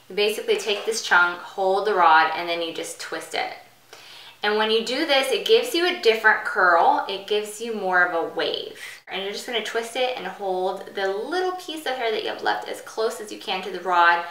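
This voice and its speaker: A woman's voice: mid-range voice, a bit bright, roomy sound, low-quality recording. A woman hosting a casual step-by-step hairstyling tutorial, explaining in an instructional way.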